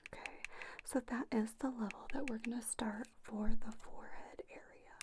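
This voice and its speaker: A woman doing a whispered ASMR roleplay as a spa owner, gently explaining each step to a trainee. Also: on the high side, fairly even intonation, moderate pace. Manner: gentle, instructional, step-by-step narration.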